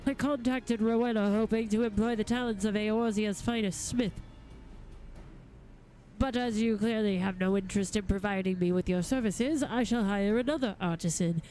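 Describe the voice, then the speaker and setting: A woman's voice: medium pitch, normal speed, dark tone, natural voice, rough recording. A woman voicing a genteel, theatrical goldsmith lord from a fantasy game, with formal, flowery and precise diction. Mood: affronted. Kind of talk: reading fantasy game dialogue aloud.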